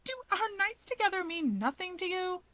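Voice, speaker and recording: A woman's voice: extremely high pitch, expressive, moderate pace, sharp tone, dry recording, pitched above the performer's own voice, full character voice. A woman voicing a playful, theatrical young man in a story reading, teasing and melodramatic. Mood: mock wounded. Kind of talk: expressive fan fiction character reading.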